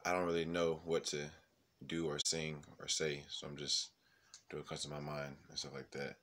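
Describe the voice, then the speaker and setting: A man's voice: very deep voice, monotone, moderate pace, fairly bright tone. A man in his twenties speaking casually and hesitantly in an unscripted audition message, recorded indoors on a phone held at arm's length. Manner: informal, hesitant, unscripted rambling. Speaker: singer auditioning. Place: small room indoors at home.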